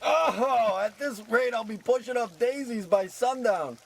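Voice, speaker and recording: A man's voice: extremely high pitch, unhurried. A man playing an exasperated small-time crook in a parody old-time radio play, whining and pleading in old gangster slang. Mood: grumbling, scheming.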